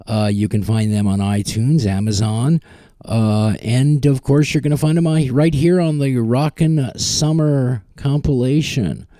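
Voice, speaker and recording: A man's voice: mid-range voice, a little slow, dark tone, no room sound, clean studio sound. A man hosting a music podcast, introducing songs in a loose, casual way with plenty of filler "uh"s. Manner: loose and chatty, many filler "uh"s. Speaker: podcast host. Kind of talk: casual radio-style song introductions.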